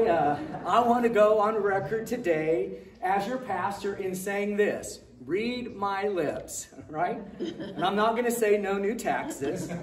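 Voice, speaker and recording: An older man preaches from a big church stage, his voice high for a man and his delivery slow and deliberate. His sound is roomy and echoing, picked up from far off, with a faint hum of the room behind him. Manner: jokey, loud projecting preacher. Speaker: pastor. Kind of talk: sermon. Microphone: far camera, handheld mic.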